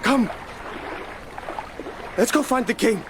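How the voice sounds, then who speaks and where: An actor playing a distressed warrior in a radio drama, speaking in urgent exclamations. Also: male voice, extremely high pitch, takes it slowly. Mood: urgent alarm. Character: urgent, distressed male warrior, son at battle's aftermath. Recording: noisy watery battlefield sound effects.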